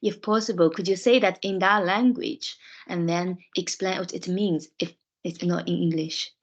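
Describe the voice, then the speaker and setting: A woman's voice: on the low side, steady tone, moderate pace. A woman podcast host leading an interview, encouraging the guest with many short affirming replies. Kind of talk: interview conversation.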